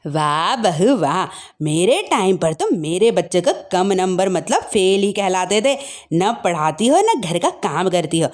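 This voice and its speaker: A nagging older mother-in-law, acted out by a woman in a family story. She scolds with sarcasm and theatrical exclamation, her pitch leaping about in lively swings. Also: measured pace. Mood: sarcastic.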